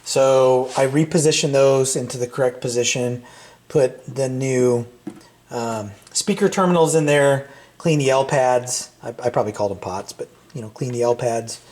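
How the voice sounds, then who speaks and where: A middle-aged man chatting casually and unscripted as a video host, in a halting, rambling way with hesitant phrasing. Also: medium pitch, darkish tone. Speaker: vintage audio repair channel host. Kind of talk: casual unscripted product chat. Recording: roomy, echoey sound.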